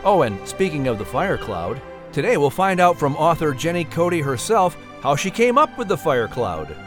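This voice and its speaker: A man announcing and narrating a children's audiobook in an animated scripted storytelling style. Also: a little high, normal speed, music in the background.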